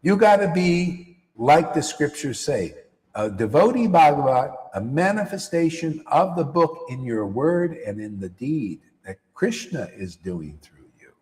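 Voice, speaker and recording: A man giving a lively spiritual talk to a live audience, slangy and repetitive, often calling listeners "man". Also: fairly high pitch, a little slow, big-room sound, poor audio. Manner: animated, slangy, repeats phrases, calls listeners "man". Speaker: spiritual teacher. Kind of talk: casual live-stream talk.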